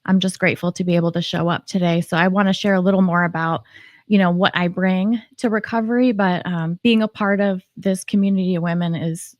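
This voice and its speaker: A woman author, a guest on a talk show, speaking in a calm, peaceful, measured way about her life. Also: fairly low pitch, dry recording. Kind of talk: reflective personal sharing.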